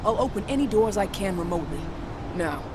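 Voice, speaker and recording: A woman's voice: mid-range voice, animated, brisk, close to an everyday voice. A woman playing a tough, frank rebel commander in a video game, talking firmly and urgently as she explains. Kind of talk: video game cutscene acting. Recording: clear outdoor ambient noise.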